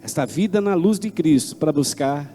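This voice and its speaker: Preacher man, 60s, pretty high voice, goes big then drops low, big reverby hall. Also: expressive, normal speed. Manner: fervent, swings from loud declamation to low intimate asides. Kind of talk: sermon. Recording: long hall reverb, faint instrument music behind.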